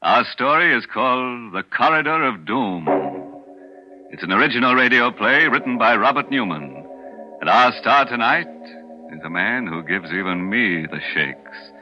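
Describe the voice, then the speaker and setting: A man's voice: mid-range voice, lively intonation, normal speed. A man hosting a 1940s radio horror show, with sardonic, macabre humor. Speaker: host. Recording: dull old radio broadcast with music.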